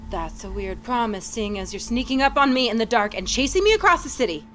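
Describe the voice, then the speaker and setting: Woman as a bright, animated, teasing cat burglar. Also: a little high, fairly quick, faint music behind. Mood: sly. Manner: teasing, taunting. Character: flirtatious, defiant, sly female cat burglar. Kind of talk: scripted table-read acting.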